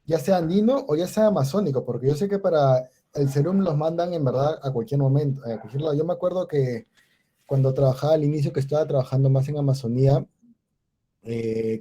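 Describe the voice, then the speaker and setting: Dark-voiced professor lecturing. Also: male voice, fairly high pitch. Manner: unhurried, explanatory, with many restarts and fillers. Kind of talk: online class lecture.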